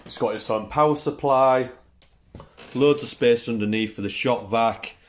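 A man's voice: mid-range voice, unhurried. Chatty British man touring his workshop. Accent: British English. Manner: relaxed, chatty, lists tools in short phrases. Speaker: woodworking channel host. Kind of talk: casual workshop tour. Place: small indoor woodworking workshop.

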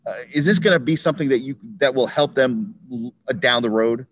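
A man's voice: mid-range voice, fairly quick. A man, a sports reporter, asking rambling questions with many restarts on a video call. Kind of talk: press conference questions.

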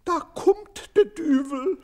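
A man voicing a startled farmer's wife in a storybook verse tale, crying out shrilly and drawn out. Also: takes it slowly. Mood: alarmed. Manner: shrill dialect cry, drawn out.

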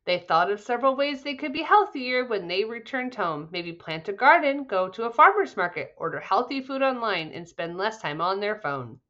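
Bright, lively woman reading a children's story. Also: mid-range voice, moderate pace, hardly any pauses, dull audio. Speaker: storybook reader. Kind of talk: children's read-aloud, scripted narration.

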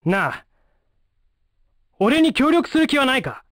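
An actor playing a brash, cocky young male fighter in a Japanese fighting game, speaking in rough, breezy slang. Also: very high-pitched, very animated, a little slow, fairly bright tone, no echo, studio quality, heavily acted. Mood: persuasive, confident.

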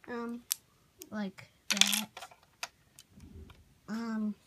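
A child giving a casual, halting show-and-tell narration while filming on a handheld phone in a room at home. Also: mid-range voice, level tone. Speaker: amateur toy video maker. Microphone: handheld phone behind the camera. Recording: noisy handheld home recording with clicks.

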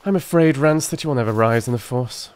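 A man playing a brilliant, brisk detective in a dramatised storybook, commanding, precise, excitable and impatient. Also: medium pitch, voice pushed up, natural voice. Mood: scornful.

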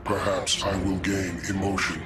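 A man playing a cold, emotionless machine intelligence in a video game, speaking in a formal, menacing deadpan. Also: sits low, normal speed, lightly acted. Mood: cold menace. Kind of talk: video game villain dialogue. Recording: loud music behind.

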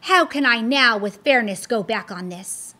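A woman's voice: on the high side, lively intonation, unhurried, sharp tone, heavily acted. A woman reading aloud, voicing a humble but defiant young male pilgrim who speaks earnestly and firmly. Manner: earnest, firm, pious. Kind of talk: storybook read-aloud.

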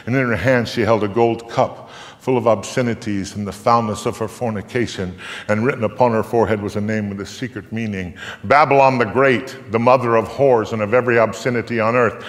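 Older man's dramatic recitation, echoing hall. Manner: solemn, deliberate, emphatic, swelling from hushed to booming. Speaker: performer reciting scripture. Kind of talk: live dramatic reading, theatrical storytelling recitation. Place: theatre stage before a live audience. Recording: echoey theatre hall, long reverb.

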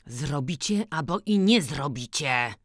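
A woman's voice: low voice, expressive, unhurried, no echo, studio quality. A woman voicing an aggrieved adult peasant son in an audiobook, firm and heated, in rural dialect. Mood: defiant. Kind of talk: audiobook character dialogue.

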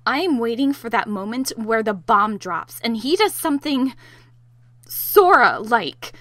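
High, animated young female lead for a fan audio drama, guarded and exasperated, woman acting, dry studio sound. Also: a little slow, dry recording, full character voice. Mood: irritated. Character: exasperated young woman, mayor's daughter, guarded about boys.

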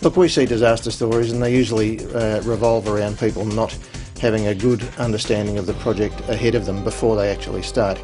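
A man gives expert interview comments. His voice is fairly low, with faint music behind. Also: fairly even intonation, quick pace. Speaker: building inspection expert.

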